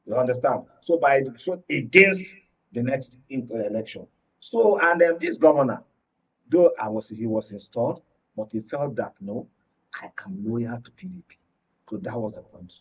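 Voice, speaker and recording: A Nigerian man with a medium-pitched, husky voice analyses politics as a guest. He explains haltingly, restarting as he goes. He sounds a little away from the mic, with a muffled tone. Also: very animated, a bit bright. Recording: muffled, distant microphone.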